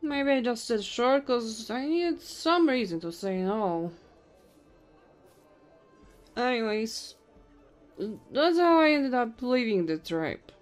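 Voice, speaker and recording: A woman's voice: a little high, big pitch swings, soft background music. A woman voicing a tipsy, flirty female goblin innkeeper in an ASMR audio roleplay, teasing and intimate. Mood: tipsy, chatty. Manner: teasing, intimate, drawn-out drunken musing.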